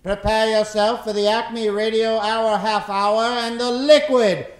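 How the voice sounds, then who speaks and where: A man's voice: high voice, fairly even intonation, measured pace. Theatrical male stage host, very echoey. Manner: theatrical, showman-like patter. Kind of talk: live improv show hosting to an audience. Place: theater stage before a live audience.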